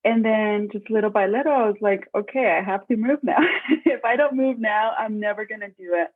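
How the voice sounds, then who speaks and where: A woman in her thirties, talking in a relaxed, rambling way, with plenty of fillers and asides. Her voice sits at an ordinary middle pitch. It comes through a thin, narrow remote call line that sounds like a telephone. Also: moderate pace. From Mexico. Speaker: podcast guest. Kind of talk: casual interview chat.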